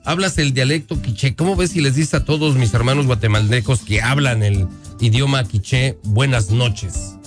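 A man's voice: medium pitch, normal speed, hardly any pauses. A Mexican man hosting a radio interview in a studio, teasing his guests playfully and stretching out key words. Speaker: radio host. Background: background music.

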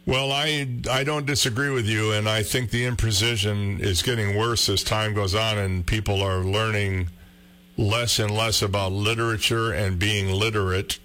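A man with a deep baritone voice who works in radio, chatting casually and thinking aloud in a relaxed, self-deprecating way. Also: normal speed. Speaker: radio host. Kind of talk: casual conversation.

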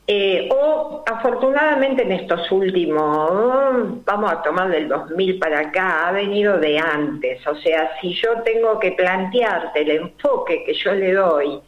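An older woman with a Rioplatense Spanish accent, a numerology guest explaining her ideas slowly and deliberately, full of fillers and rhetorical questions. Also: fairly low pitch, lively intonation. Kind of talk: explanatory interview talk. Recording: roomy, dull lo-fi recording.

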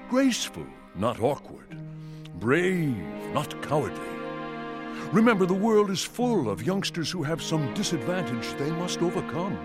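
An actor playing a pompous, proud father swan in a children's story, with a resonant voice and flowery, oratorical delivery. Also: fairly high pitch.

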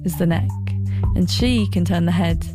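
A woman's voice: on the low side, normal speed, music in the background. A woman presenting and narrating a personal documentary in a wry, thoughtful, conversational way.

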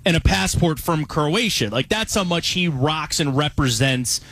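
A man's voice: on the high side, animated, a little slow, fairly bright tone, slight background noise. A man from Cleveland, Ohio, hosting a casual sports radio talk show, chatty and storytelling.

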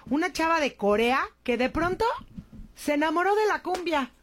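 A woman's voice: high-pitched, expressive, normal speed. A woman talk show host, chatty and effusive as she interviews a guest. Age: adult with a young daughter. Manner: effusive, chatty, repeats "señores, señores".

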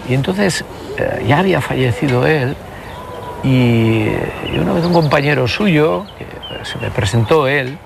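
A man's voice: mid-range voice, lively intonation, unhurried, creaky, noisy background. A man in his sixties from the Country, speaks as a politician guest in an interview, reflective and full of hesitations and restarts. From Basque Country, Spain. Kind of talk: conversational interview.

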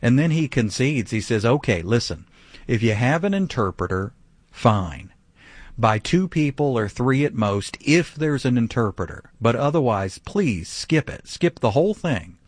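Male radio preacher, mid pitch, emphatic, dry close mic. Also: expressive, a little slow, dry recording. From grew up speaking some Vietnamese. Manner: emphatic, rhetorical questions. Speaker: pastor. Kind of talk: radio Bible teaching.

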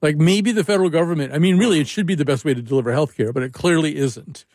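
A man's voice: a little high, fast talker, a little mellow. A man hosting a call-in radio show, talking casually in a rambling, wry way and often restarting his sentences. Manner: rambling, self-interrupting, wry.

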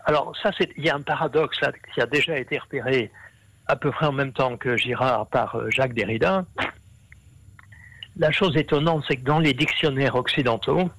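A man's voice: mid-range voice, normal speed, fairly bright tone, rough recording. A man explaining ideas at length in an interview, with many fillers and restarts. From half Brazilian. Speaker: interview guest, scholar.

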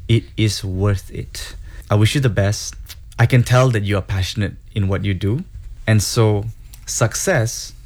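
A man's voice: fairly low pitch, measured pace, a little mellow. A man speaking as a guest, giving earnest, encouraging advice and repeating words for emphasis. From grew up in Singapore and Hong Kong. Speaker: guest entrepreneur. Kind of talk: casual advice talk, mixing English and Malay.

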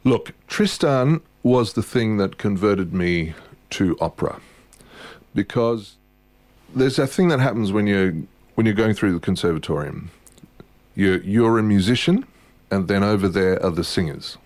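A man being interviewed as a guest musician, telling relaxed stories with frequent pauses and fillers like "um" and "look". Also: fairly low pitch, no room sound. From born in London, raised in Germany and Australia. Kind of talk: casual interview conversation.

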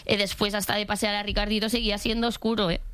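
A woman's voice: medium pitch, flat delivery, fast, fairly bright tone, smooth. A woman with an accent from Spain, chatting and complaining in a self-mocking, exaggerated way as a comedic contributor on a talk show. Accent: Spanish from Spain. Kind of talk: comedic personal monologue and casual banter.

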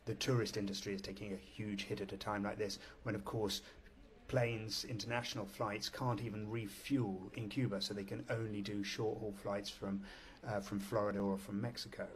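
A male foreign correspondent with a fairly low voice, reporting live down the line from a city. He talks in a measured, thoughtful way and often restarts a phrase before finishing it. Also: flat delivery. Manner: measured, frequent restarts and fillers. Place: on location in a city.

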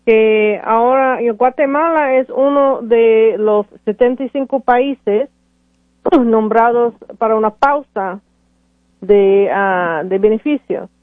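A woman's voice: fairly high pitch, slow pace, no echo. A woman lawyer answering callers on a radio call-in show, hesitant, with many fillers and restarts. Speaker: immigration lawyer. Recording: tinny phone-like radio audio, dry.

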